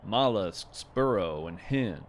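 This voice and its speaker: A man playing a gentle, folksy naturalist who hosts a scripted field podcast with earnest, lyrical wonder. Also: lowish voice, animated, a little hiss or hum. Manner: deliberate, lyrical, earnest delivery. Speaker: podcast host and narrator. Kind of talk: scripted narration with poetry reading.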